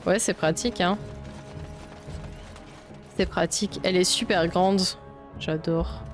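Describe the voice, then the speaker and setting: Young woman from Belgium, casual let's-play host, slightly low pitch, chatty and loose with swearing, soft game music underneath. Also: slow pace. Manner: chatty, swears often, thinks aloud. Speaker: streamer playing a video game. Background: faint game music.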